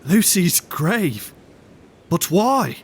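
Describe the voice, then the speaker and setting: A man playing a high-voiced, indignant Victorian gentleman. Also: sing-song, extremely slow, exaggerated voice. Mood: indignant, distressed. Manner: indignant, emotional. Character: grieving, disbelieving Victorian gentleman, devoted lover. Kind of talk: audio drama acting, period speech.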